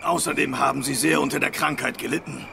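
A man's voice: medium pitch, steady tone, fast, creaky, noisy background. An actor playing a polite but patronising male alien host in a radio drama. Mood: courteous.

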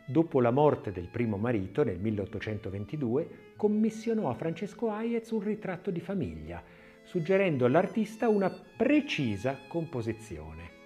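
A man narrating an audiobook in a scripted, expressive literary reading style, with measured phrasing and dramatic emphasis. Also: mid-range voice.

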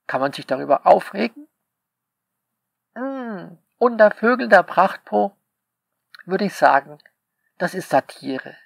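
A man's voice: high-pitched, expressive, takes it slowly, gravelly, pauses often, dry recording, studio quality. A man, the author, speaking a sarcastic, polemical monologue to his listeners and peppering it with rhetorical questions. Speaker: author reading commentary on his novel.

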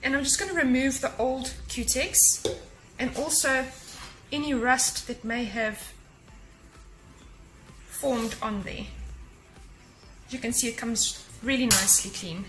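A woman's voice: on the high side, fairly even intonation, unhurried, pauses often, over music, low-quality recording. A woman in her thirties talking casually to camera in her home kitchen, giving practical cleaning and organizing tips to viewers. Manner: chatty and practical, gives tips directly to viewers. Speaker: cleaning vlogger. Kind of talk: casual how-to narration to camera.

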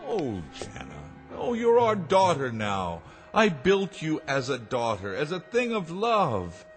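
An actor playing a tender, earnest older inventor father in a radio drama, placating and halting. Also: fairly high pitch, wildly swooping pitch, soft background music, exaggerated voice. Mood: earnest pleading.

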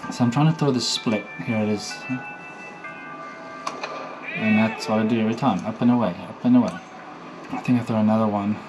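Relaxed South African man, softened accent. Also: mid-range voice. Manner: relaxed, matter-of-fact self-filming. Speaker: professional baseball pitcher vlogging. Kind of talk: casual video diary. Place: hotel room and city street. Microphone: phone camera at arm's length.

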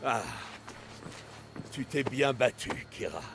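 An actor playing a proud, hot-headed Spartan commander in a video game, with a blunt, impatient martial manner. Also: male voice, medium pitch, expressive, slow pace, gravelly, big-room sound. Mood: proud, guarded. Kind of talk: video game voice acting in French. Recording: game dialogue over loud music.

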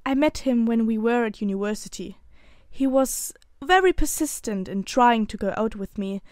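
A woman's voice: on the high side, lively intonation, moderate pace, darkish tone, no echo, studio quality, lightly acted. A woman playing a proper, guarded young wife in an audio drama, speaking formally. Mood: composed.

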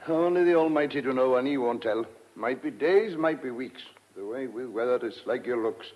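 A man's voice: mid-range voice, expressive, normal speed, close to an everyday voice. A man acting in a radio drama as a nosy, folksy farmhand with a blunt, rustic, droll way of talking. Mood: folksy, wry. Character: nosy, folksy old farmhand, cheerfully philosophical. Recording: dull lo-fi radio drama recording.

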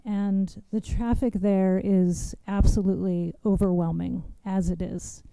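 A soft-spoken young woman giving nervous public testimony at a standing mic in a large hearing room. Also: medium pitch, level tone, measured pace, vocal fry, clear, resonant tone, no echo.

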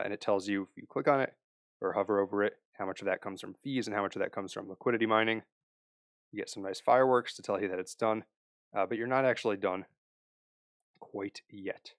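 A young man with a fairly low voice talks viewers through a screen-recorded tutorial step by step. His delivery is relaxed and explanatory, full of "uh"s and small restarts. The sound is close and dry, with no room around it. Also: no echo. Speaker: crypto tutorial host.

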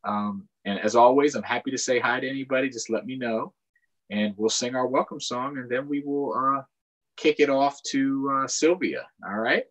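A man's voice: medium pitch, normal speed, low-quality recording. A middle-aged man casually chatting to children and families as the host of a storytime on a video call. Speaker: county councilmember hosting children's storytime. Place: small furnished room indoors, on a video call. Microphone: video call microphone.

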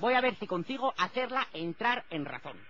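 A man's voice: high-pitched, very animated, normal speed, crowd noise. A man from Spain voicing a prissy, pompous, easily offended male customer complaining on a prank phone call. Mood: fussy, condescending. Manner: pompous, wordy, repeating himself indignantly. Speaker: prank caller. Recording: dull, lo-fi phone prank recording.

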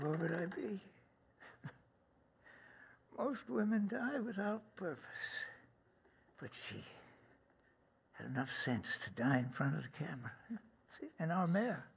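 An actor playing an elderly, contemptuous crime boss in a video game, condescending and theatrical. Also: male voice, a little high, vocal fry, a little hiss or hum, exaggerated voice. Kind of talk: video game cutscene acting.

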